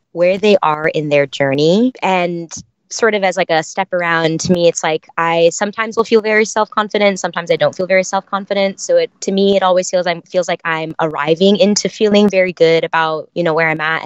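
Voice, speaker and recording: A woman's voice: on the low side, no room sound, muffled recording. A young adult woman from the San Francisco Bay Area, a dancer and choreographer, answering interview questions in a thoughtful, reflective way with many restarts. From San Francisco Bay Area, California. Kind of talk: podcast interview.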